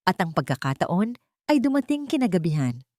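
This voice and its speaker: A woman storyteller with a fairly low voice tells a romantic drama. Her delivery is emotive and very animated in pitch. The sound is dry and close. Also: fairly quick, no echo. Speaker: narrator of audio love stories.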